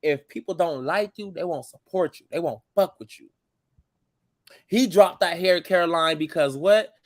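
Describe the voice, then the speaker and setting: A man in his twenties who grew up in the United States, a show guest talking in casual chat, blunt and calm while he cusses. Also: on the high side, a little slow, no room sound, clean studio sound. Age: 20s.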